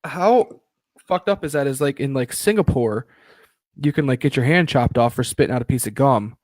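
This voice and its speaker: A young man co-hosting a podcast live stream in casual chat, excitable, swearing freely and restarting his sentences. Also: medium pitch, normal speed, no echo. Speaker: podcast co-host.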